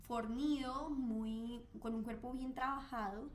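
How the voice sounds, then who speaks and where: A woman's voice: fairly high pitch, steady tone, slow pace. Woman, true crime podcast, storytelling, faint hiss. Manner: storytelling with frequent fillers. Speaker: co-host.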